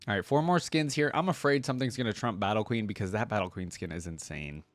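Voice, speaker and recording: A man's voice: fairly low pitch, quick pace, no room sound, soft background music. A man giving casual reaction commentary as a video host, thinking aloud in informal, slangy language.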